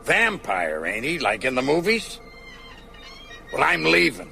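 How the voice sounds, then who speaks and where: Radio drama casting: a tired, evasive small-town lawman, elderly, gravelly, very animated pitch, music underneath. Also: unhurried, heavily acted. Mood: weary, resigned. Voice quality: gravelly, coughing. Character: sad, weary old male small-town constable, resigned and evasive.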